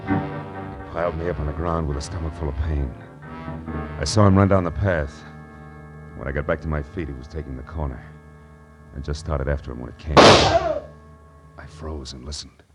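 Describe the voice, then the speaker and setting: Wisecracking American gumshoe for vintage radio crime drama, deep-set voice, sardonic and streetwise. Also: male voice, very animated, moderate pace, long pauses, loud music behind the voice. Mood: tense, grim. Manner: wry, tough, slangy. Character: hard-boiled, wisecracking male private detective. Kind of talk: 1940s radio noir narration and dialogue.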